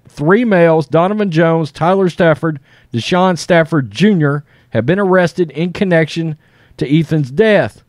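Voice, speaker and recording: A man's voice: fairly high pitch, a little mellow, no room sound, studio quality. A middle-aged American man hosting an online show, reading a news article aloud and adding casual off-the-cuff commentary.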